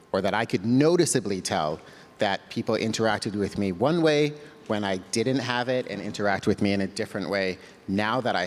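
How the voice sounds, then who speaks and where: A man on a panel speaking about a personal experience in a thoughtful, unhurried way. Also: fairly low pitch, big-room sound. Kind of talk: panel discussion.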